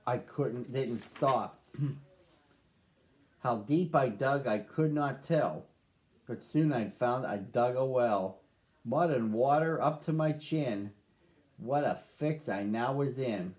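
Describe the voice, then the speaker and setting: A man reading a rhyming picture book aloud to children, with a slow, sing-song rhythm. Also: mid-range voice, a little mellow. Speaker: storybook reader.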